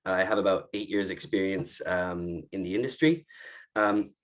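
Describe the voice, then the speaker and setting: A man's voice: low-pitched, normal speed. A man in his thirties explaining his career as a panelist on a webinar. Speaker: freelance medical communications consultant, panelist. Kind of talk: explanatory self-introduction. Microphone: video call.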